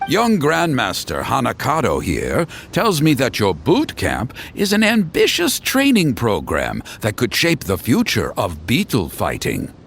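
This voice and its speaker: A man's voice: medium pitch, gravelly, fairly bright tone, a little hiss or hum, exaggerated voice. A man voicing a genial, courteous street storyteller in a video game, speaking eloquently and with theatrical polish.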